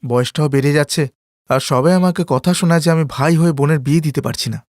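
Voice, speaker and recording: A man's voice: fairly high pitch, fast talker, no room sound, clean studio sound, natural voice. A man voicing a resentful, worried elder brother in a cartoon family drama, complaining.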